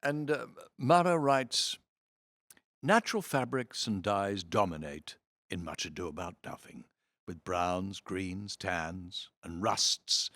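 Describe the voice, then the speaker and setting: A man reads programme notes aloud with a theatrical flourish, his pitch moving freely from phrase to phrase. He phrases things deliberately and leans into key words for emphasis. The recording is close and dry. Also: dry recording. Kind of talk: expressive read-aloud of programme notes with brief asides.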